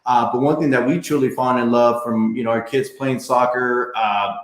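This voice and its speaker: A man's voice: medium pitch, fairly even intonation, normal speed. Guy hosting a live podcast, super casual, lots of uhs, echoey room. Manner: casual, loose, frequent fillers, addresses viewers directly. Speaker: realtor, podcast host.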